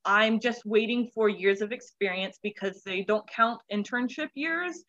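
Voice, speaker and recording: A woman's voice: medium pitch, a little slow, bright, forceful tone, muffled recording. A woman in her twenties, a children's pastor, chatting candidly and informally as an interview guest. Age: 20s. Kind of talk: casual interview chat.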